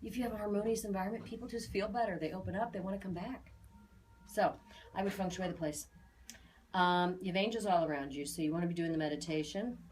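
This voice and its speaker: A woman's voice: medium pitch, quick pace, darkish tone, a little hiss or hum, rough recording. A woman in her forties giving an informal spoken reading to clients, chatty and emphatic, repeating key phrases. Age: 40s. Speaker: aura reader.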